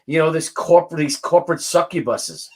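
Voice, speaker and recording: A man in casual panel chat, outspoken and quick to interject, with stop-and-start phrasing. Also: on the high side.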